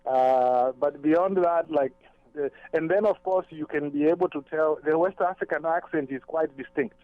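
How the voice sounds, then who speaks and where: A man from Zambia speaks as a radio show guest, calling in over a narrow, tinny phone line. He talks thoughtfully, often repeating words while he gathers his thoughts. His voice sits a little high and the sound is dry. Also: normal speed, no echo. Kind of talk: radio talk show discussion.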